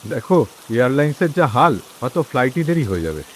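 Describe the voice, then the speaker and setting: Man playing an impatient, animated grown son. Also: mid-range voice, a little hiss or hum, lightly acted. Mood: placating. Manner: brisk questions, code-switches into English. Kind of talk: Bengali radio drama acting.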